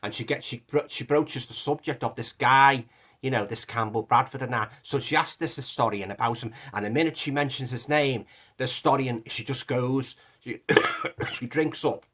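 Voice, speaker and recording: A man's voice: mid-range voice, moderate pace, fairly bright tone. A man with a Liverpool accent, throaty from a lingering cough, casually reviewing a movie with lots of fillers and restarts. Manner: rambling, many fillers and restarts. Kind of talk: casual film review vlog.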